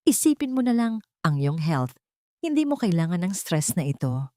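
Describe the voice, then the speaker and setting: A woman's voice: lowish voice, big pitch swings, normal speed, mellow, no echo, studio quality. A woman voicing a scheming middle-aged man who sounds mockingly sweet in an audio drama story.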